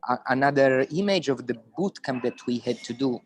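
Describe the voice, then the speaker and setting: A man with an Italian accent, speaking English as a second language, reflecting on a program he runs, often pausing to search for words. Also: mid-range voice, expressive, a little hiss or hum. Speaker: program organizer. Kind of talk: casual online panel chat.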